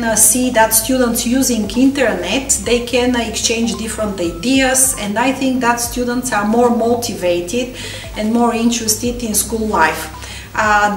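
Earnest Bulgarian-accented teacher woman. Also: on the high side. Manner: earnest, halting with fillers. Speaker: deputy headmistress, English and history teacher. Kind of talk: testimonial to camera. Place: council hall, indoors. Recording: roomy hall, distant mic, faint music.

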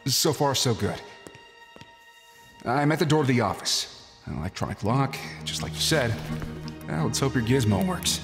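A man's voice: mid-range voice, expressive, normal speed, pauses often, over music, natural voice. A man playing a wisecracking young treasure hunter with sarcastic banter in a fan audio drama. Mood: wry.

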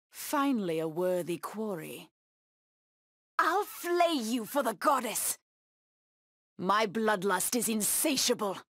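An actress voicing a fierce, bloodthirsty feline huntress in a video game, with a menacing, haughty delivery. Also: female voice, mid-range voice, fairly bright tone, dry recording, clean studio sound, exaggerated voice. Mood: fierce, exultant. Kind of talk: video game voice acting, short combat taunts.

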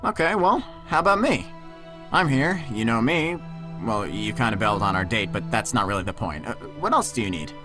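A man's voice: lowish voice, expressive, fairly quick, music in the background, lightly acted. A man playing an easygoing, concerned teenage boy with a casual, tentative way of talking in an audio drama. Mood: curious, encouraging. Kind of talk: naturalistic audio drama acting.

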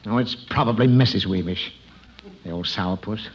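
An actor playing an exasperated, eager-to-please father in 1950s radio comedy, excitable and flustered. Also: on the low side, normal speed, lightly acted. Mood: grumbling. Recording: muffled, very noisy old radio recording.

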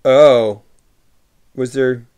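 A man in his thirties from the United States, a livestreamer in a room at home, reading viewer messages aloud and answering warmly through a webcam microphone. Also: medium pitch, fairly even intonation, extremely slow, clean tone. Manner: reads viewer messages aloud and replies warmly, often laughing. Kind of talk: casual chat. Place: home room with shelves of films.

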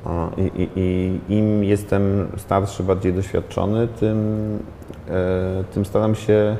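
A man in his thirties, a film director, giving a reflective interview in a studio set, thinking aloud with many pauses and restarts. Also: deep voice, flat delivery, mellow, a little hiss or hum. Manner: thoughtful, hesitant, many fillers and restarts. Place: studio set in a large glass-walled building.

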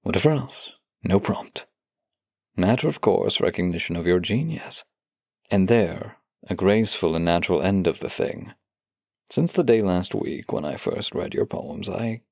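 Male actor as devoted tender lover, low gravelly voice, intimate audio drama, close and dry. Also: a little mellow, no echo, exaggerated voice. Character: devoted bookish-shy man, tender romantic partner. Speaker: voice actor reading love letters. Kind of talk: intimate audio drama, slow heartfelt letter reading and banter.

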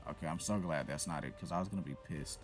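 A man giving casual, profanity-laced commentary while playing a shooter game, reacting loudly to what happens on screen. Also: rumbling low, fast, soft background music. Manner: swears freely, reacts loudly to in-game events. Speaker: gameplay commentator.

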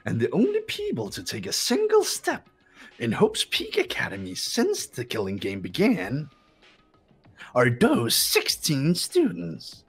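Sadistic robot bear mascot, raspy, swoops all over, mock-polite game villain. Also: female voice, on the low side, wildly swooping pitch, measured pace, soft background music, full character voice. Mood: teasing. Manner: theatrical, taunting, mock-polite. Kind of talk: video game voice-over dub.

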